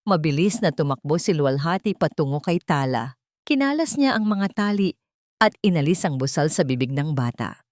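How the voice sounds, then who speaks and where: A woman's voice: low-pitched, sing-song, moderate pace, darkish tone, dry recording, clean studio sound. A woman narrating a scripted story in a dramatic style.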